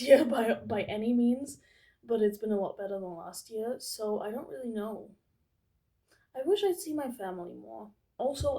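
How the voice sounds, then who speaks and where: A woman's voice: medium pitch, dark tone, rough recording. A 22-year-old woman from England, chatting casually to camera as a vlogger, rambling and hesitant with many fillers.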